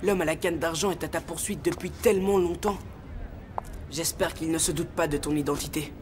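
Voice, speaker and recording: A woman voicing a protective, worried young boy, a loyal friend, in a French animated series. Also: low-pitched, fairly quick, slight background noise, natural voice. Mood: soothing, worried.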